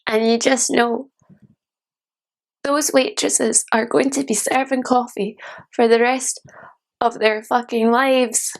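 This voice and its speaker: A woman playing a disillusioned young dreamer in a solo dramatic monologue, reflective and deliberate, in short broken phrases. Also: mid-range voice, fairly even intonation. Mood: bitter.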